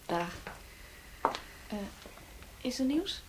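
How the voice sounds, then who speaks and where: A woman's voice: high-pitched, very animated, extremely slow, background noise, full character voice. A woman playing a wry, defensive householder with a guarded manner in a naturalistic radio drama. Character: wry, defensive woman receiving police at home. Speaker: actor in a radio play.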